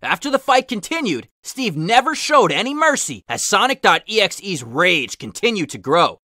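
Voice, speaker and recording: A man reading fan fiction aloud in an animated, dramatic, emphatic style with his own asides. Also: high voice, normal speed, no echo, clean studio sound. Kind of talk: animated storybook-style reading in a cartoon hero character voice with asides.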